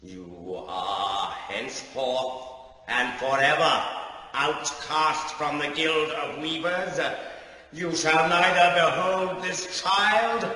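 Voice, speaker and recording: A man's voice: sits high, a bit bright. An actor playing a stern, pompous male elder in a fantasy audio drama, pronouncing judgment in a grave, ceremonial, declamatory manner. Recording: echoing cavernous hall, distant voice.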